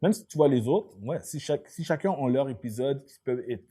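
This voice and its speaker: A young Québécois man with a mellow, warm mid-range voice, chatting in a relaxed way on a podcast. He often repeats himself and slips English slang into his French. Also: medium pitch, fairly quick, breathy edge. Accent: Quebec French. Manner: laid-back, repeats words, English slang tossed in. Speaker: podcast co-host. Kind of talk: casual chat.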